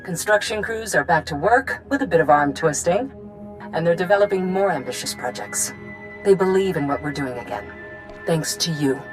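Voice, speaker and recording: An actress playing a determined colonial governor in a video game, speaking formally and earnestly to rally a hero. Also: female voice, fairly low pitch, expressive, normal speed, over music, lightly acted. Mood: gracious, hopeful. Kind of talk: video game cutscene acting.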